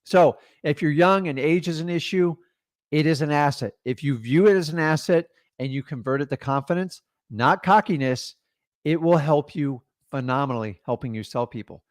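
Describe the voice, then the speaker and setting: A man's voice: on the high side, normal speed, no echo. Middle aged guy pumping people up about sales, direct and emphatic, dead dry room. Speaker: real estate coach. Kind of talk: energetic coaching talk.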